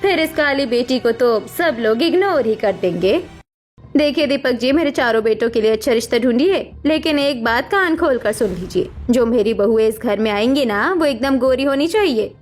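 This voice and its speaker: A woman's voice: a little high, lively intonation, normal speed, lightly acted. A woman voicing a harsh, status-obsessed middle-aged mother in a cartoon story, nagging with dramatic scorn. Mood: scornful, scheming. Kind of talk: Hindi cartoon story voice acting. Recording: music behind the voice.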